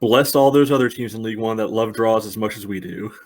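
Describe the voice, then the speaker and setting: A man's voice: fairly low pitch, fast talker, darkish tone. A man chatting casually as a panelist on a sports podcast.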